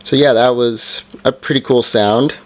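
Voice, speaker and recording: A man's voice: medium pitch, fairly even intonation, a little slow. A man giving an informal, hands-on product review in a casual explaining style, recorded indoors. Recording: background noise with handling clicks.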